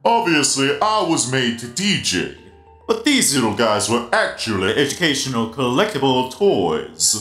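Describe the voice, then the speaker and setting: A man's voice: medium pitch, very animated, normal speed, fairly bright tone. A man voicing a friendly, theatrical, teasing giant robot spider DJ in a cartoon-style fan comic dub. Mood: explanatory. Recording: roomy echo, music behind. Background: music.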